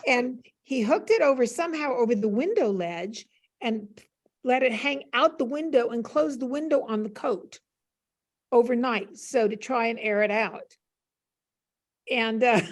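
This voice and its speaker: Older American woman telling anecdotes. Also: fairly high pitch. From United States. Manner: animated, acts out remembered dialogue. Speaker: panel guest. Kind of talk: casual anecdotal storytelling.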